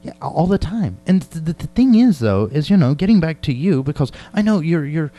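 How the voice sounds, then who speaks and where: A man's voice: on the high side, very animated, fairly quick, dark tone. A man hosting a radio interview in casual chat, informal and often stammering as he feels his way into questions. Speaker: radio show host interviewing a guest.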